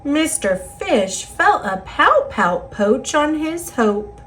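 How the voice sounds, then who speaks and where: A woman with an American accent reading a children's picture book aloud in an expressive, singsong rhyming delivery. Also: sits high, slow pace, a little hiss or hum. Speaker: storybook reader.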